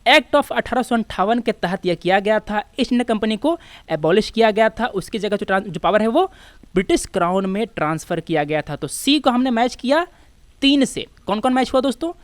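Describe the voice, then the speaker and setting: An Indian man with a notably high-pitched voice teaches in an informal, explanatory way. He talks directly to learners and repeats each step as he goes. The sound is dry, with almost no room echo. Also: expressive, fairly quick. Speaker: exam-prep teacher. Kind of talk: explanatory lesson with informal asides.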